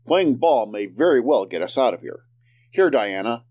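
An actor playing a pedantic, composed scientist type with a dry, precise manner in an audio drama. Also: male voice, medium pitch, sing-song, moderate pace, a little mellow, dry recording. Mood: analytical, calm.

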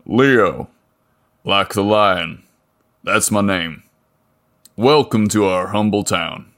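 A burly small-town mechanic with a rough, deep voice and an easy, friendly drawl, as a male narrator puts him on. He talks slowly in a storybook reading. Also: a bit bright.

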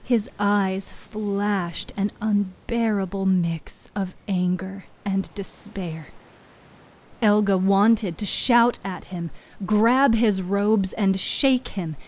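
A woman storyteller giving a solemn, dramatic narration of fiction, with hushed phrase endings. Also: medium pitch, takes it slowly.

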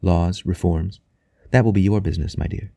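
Man reading as a soft, rapt young wife. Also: low voice, steady tone, moderate pace, vocal fry, no echo, clean studio sound. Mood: rapt devotion. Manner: soft, quiet, reverent. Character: devout, tender young wife, quietly rapt. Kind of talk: audiobook character dialogue.

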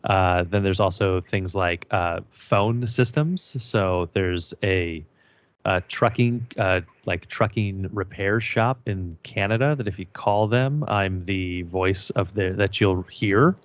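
A man with a low voice, a voiceover artist chatting as a podcast guest in an easygoing, joking way. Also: takes it slowly, dry recording. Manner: easygoing, joking, chuckles often. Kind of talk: casual podcast chat.

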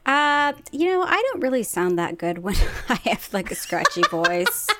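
Curious woman with lively pitch. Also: moderate pace, heavy background noise. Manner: curious, asks questions, short reactions. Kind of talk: casual chat.

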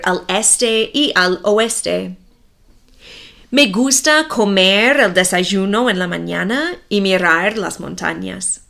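A woman's voice: a little high, bright, forceful tone. A woman from the United States with an American accent, a native English speaker, narrating a scripted story for language learners in simple, carefully separated sentences. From Minnesota, United States. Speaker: host of a Spanish-learning story podcast.

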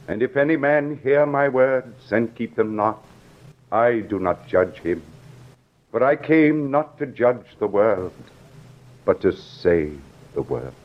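A solemn prophet in a vintage radio drama. He declaims scripture slowly, with measured weight. The sound is dull and old. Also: mid-range voice.

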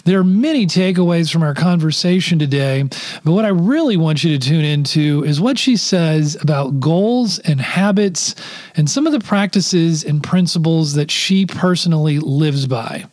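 A man co-hosting a podcast, reading a scripted introduction and then asking a halting, measured question. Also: a little high, animated, darkish tone. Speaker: podcast co-host.

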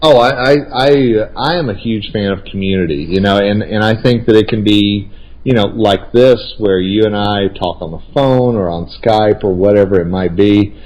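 A man talks with a friend in easy, friendly conversation. His voice sits a little low, and he often stops and restarts his sentences as he works out what to say. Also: darkish tone, low-quality recording. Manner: friendly, halting, with many restarts. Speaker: regular guest and co-host, speaker and consultant. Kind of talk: casual conversational chat.

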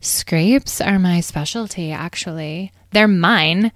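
A woman's voice: on the low side, slow, dry recording. A bossy little fairy healer from a storybook, acted out by a woman. She is fussy and sassy, coaxing with strong emphasis in a lifted, dry-recorded female voice. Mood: bickering, sassy.

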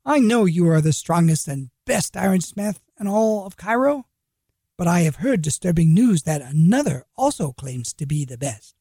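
A man voicing a sly, flattering male street trader in a storybook reading. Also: high voice, moderate pace, slightly rough, no echo, clean studio sound, exaggerated voice. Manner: wheedling, flattering.

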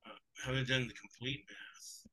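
A man's voice: mid-range voice, steady tone, normal speed, sharp tone, low-quality recording. A man, English his second language, hosting a sports betting podcast in casual, rambling chat. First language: not English. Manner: rambling, digressive, chuckles often.

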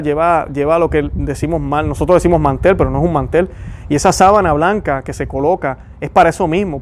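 Man, puerto rican accent, earnest questioning commentary, slightly high voice. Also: darkish tone, a little hiss or hum. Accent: Puerto Rican Spanish. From Puerto Rico. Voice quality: frequent restarts and "¿verdad?" tags. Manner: earnest, asks many rhetorical questions. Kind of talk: religious commentary to viewers.

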